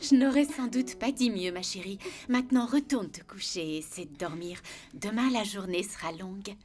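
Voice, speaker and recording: A woman voicing a calm, tender mother bear in an animated film. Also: mid-range voice, normal speed, soft background music, natural voice. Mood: soothing.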